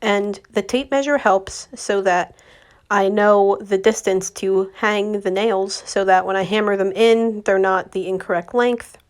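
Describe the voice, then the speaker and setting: A young woman with a slightly raspy voice from a recent cold, chatting casually to viewers as she narrates her decorating vlog. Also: medium pitch, fairly even intonation, measured pace. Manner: chatty, friendly, addresses viewers directly. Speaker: home decor vlogger.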